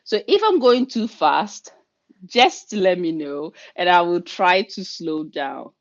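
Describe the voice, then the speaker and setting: Fast-talking Ghanaian woman on a dull video call. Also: lowish voice. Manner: fast, energetic, chatty delivery. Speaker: leadership coach and workshop leader. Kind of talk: online workshop presentation.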